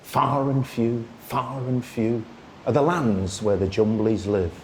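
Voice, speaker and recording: A man reciting a children's poem as a storyteller, in a theatrical, singsong way with drawn-out phrasing. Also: mid-range voice, a little hiss or hum. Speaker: storyteller, radio presenter. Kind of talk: expressive children's poetry recitation.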